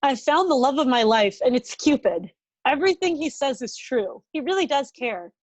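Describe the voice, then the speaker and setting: A woman's voice: sits high, expressive, moderate pace. A woman playing an earnest, impulsive young romantic in a casual comedy script table read. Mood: upbeat. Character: Maggie, earnest, impulsive woman chasing love.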